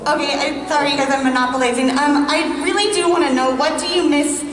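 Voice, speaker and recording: A woman with a scratchy voice, excited and nervous, asking the panel a question as a fan. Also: sits high, a little fast. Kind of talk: audience Q&A. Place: convention hall audience mic. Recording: echoey hall, distant, loud crowd.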